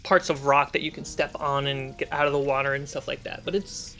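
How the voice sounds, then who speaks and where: A man's voice: medium pitch, steady tone, a little fast, pushed and bright. A man narrating a tabletop fantasy game as game master, setting scenes and prompting players.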